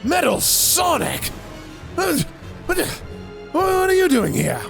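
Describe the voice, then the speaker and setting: A man's voice: very high-pitched, wildly swooping pitch, slow, vocal fry, fairly bright tone, faint music behind, voice pushed up, exaggerated voice. A man voicing a blustering evil mad scientist in a fan audio drama, exclamatory and theatrical. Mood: startled, alarmed.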